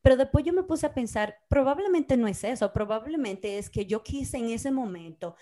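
Dominican woman telling animated stories. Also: on the high side, normal speed. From Dominican Republic. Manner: animated storyteller, acts out quoted dialogue. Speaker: podcast co-host. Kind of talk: casual conversation.

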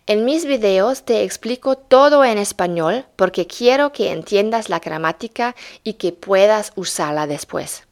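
A woman's voice: medium pitch, creaky. A young German woman, speaking Spanish she learned as an adult, tells her story in earnest and introduces her German courses. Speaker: German teacher.

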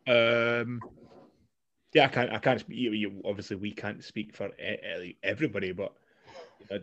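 A man's voice: on the low side, little pitch movement, normal speed, a bit bright, dull audio. Blunt, sweary Scottish man. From Scotland. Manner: blunt, sweary, rambling with restarts. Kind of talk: casual chat.

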